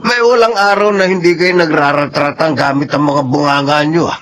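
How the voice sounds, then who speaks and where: A man's voice: a little high, steady tone, normal speed, fairly bright tone, slight background noise, natural voice. An actor playing a weary, teasing older man who is fed up with the quarrels in a radio drama. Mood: exasperated. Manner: exasperated, teasing.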